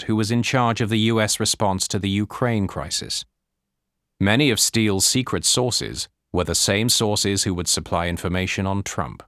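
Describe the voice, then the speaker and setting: Low-voiced man narrating a nonfiction audiobook. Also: a bit bright, no echo, studio quality.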